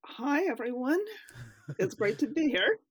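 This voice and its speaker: A woman's voice: sits high, sing-song, a little slow, breathy edge, dry recording. A woman in her fifties from Russia, a writer answering interview questions hesitantly, with many fillers and laughs. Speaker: writer, guest.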